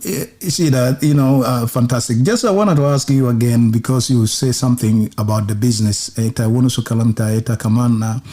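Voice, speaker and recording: A man hosting a radio interview in a hesitant, halting way, mixing English with another language. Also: mid-range voice, steady tone, mellow.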